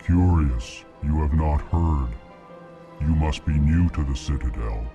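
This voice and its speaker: A man playing a solemn alien diplomat in a video game, speaking in a formal monotone and announcing each emotion before speaking. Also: extremely low pitch, a little slow, music in the background. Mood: solemn, aggrieved. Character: slow, solemn alien diplomat, heavy and ponderous. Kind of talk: video game dialogue acting.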